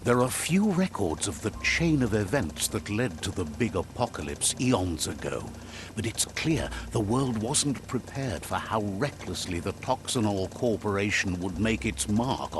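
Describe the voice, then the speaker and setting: A man playing a wry, theatrical storyteller who narrates a post-apocalyptic fable in a video game with deliberate, whimsical delivery. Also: on the low side. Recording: clear background noise under the voice. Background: game ambience and noise.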